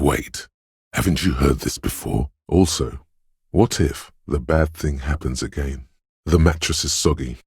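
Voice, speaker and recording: Very deep, husky, eerie inner voice. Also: male voice, no room sound, clean studio sound, exaggerated voice. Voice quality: husky, gravelly bass. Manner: hushed, ominous, poetic, lingering on short phrases. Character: eerie, dreamy inner voice of a detective's intuition. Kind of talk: atmospheric second-person video game narration.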